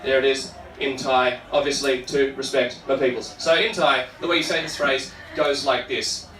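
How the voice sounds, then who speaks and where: A man's voice: mid-range voice, steady tone, normal speed, fairly bright tone. A man from Australia with an Australian accent doing stand-up comedy to an audience, informal and sweary, chatting with the crowd in asides. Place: live comedy venue with an audience. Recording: echoey, noisy room, distant microphone.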